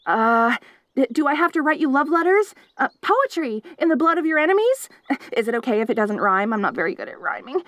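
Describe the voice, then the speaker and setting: High giggly stammery wolf girl, clingy and way too intense, a woman acting her out, dry close audio. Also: very animated, fairly quick, a bit bright, no echo. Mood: eager. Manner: giggly, stammering, rambling and eager. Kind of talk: audio roleplay.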